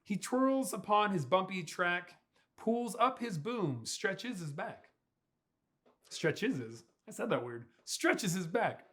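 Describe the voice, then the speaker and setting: Give me an animated, high-pitched man reading storytime. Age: young adult. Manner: playful, expressive, with slow drawn-out words. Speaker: pastor reading to children. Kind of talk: animated children's storybook read-aloud. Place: small furnished room indoors.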